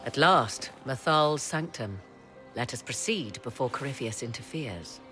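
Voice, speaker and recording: A woman's voice: extremely low pitch, animated, a little slow, bright, forceful tone, faint music behind, full character voice. A woman playing a haughty, enigmatic sorceress in a fantasy game, speaking in arch, formal, archaic phrases. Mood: grave. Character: haughty, enigmatic sorceress advisor, learned in old legends. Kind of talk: dramatic fantasy game acting. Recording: clear background ambience noise.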